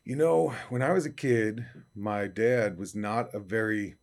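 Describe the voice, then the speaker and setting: A young man from upstate New York talks in a raspy, fairly low baritone. He answers interview questions in a loose, easygoing way, with plenty of fillers and restarts. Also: measured pace, a little mellow. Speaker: country singer-songwriter guest. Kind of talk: casual interview talk.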